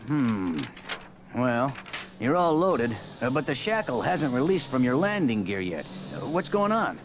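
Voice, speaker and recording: Gruff, swooping dock boss. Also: male voice, medium pitch, big pitch swings, a little slow, music in the background. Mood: suspicious. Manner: hesitant uh-peppered, officious. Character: gruff, suspicious, bossy loading dock supervisor. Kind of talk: space opera radio drama acting.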